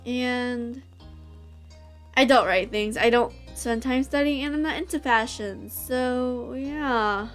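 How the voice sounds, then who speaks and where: A woman's voice: on the high side, slow, faint music behind. A young woman with a stuffy nose chatting and giggling casually as she plays through a visual novel for her channel. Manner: chatty, giggly, self-interrupting. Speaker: YouTuber reading a visual novel. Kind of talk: casual game playthrough commentary.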